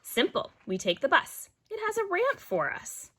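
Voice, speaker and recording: A woman's voice: very high voice, wildly swooping pitch, normal speed, a bit bright, slight background noise, pitched above the performer's own voice, full character voice. A woman voicing an upbeat, reassuring grandmother from a children's storybook, with an encouraging, cheerful manner.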